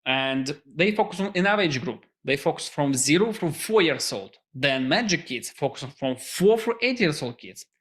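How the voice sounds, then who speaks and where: A man with a Russian accent, Russian his first language, giving an earnest startup pitch as founder. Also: animated, normal speed, hardly any pauses, fairly bright tone. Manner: earnest, non-native phrasing.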